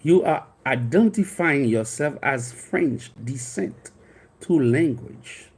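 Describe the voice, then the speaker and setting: A man's voice: medium pitch, expressive, slow pace, a little mellow, slightly rough. A man in his fifties from Haiti with a Haitian accent, a life coach telling a personal story in a rambling, earnest way with frequent restarts. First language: Haitian Creole. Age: 50s.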